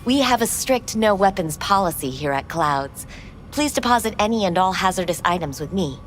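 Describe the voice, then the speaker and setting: An actress playing a poised, courteous female receptionist at a futuristic club in a video game, with a formal, measured manner. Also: mid-range voice, lively intonation, a little hiss or hum, natural voice. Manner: formal, measured, smoothly sales-like. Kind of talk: video game dialogue acting.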